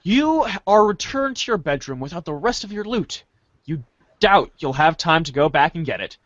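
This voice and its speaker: A man reading a story aloud in a playful, theatrical way, as an animated second-person narrator. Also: fairly high pitch, moderate pace, no echo, highs cut off.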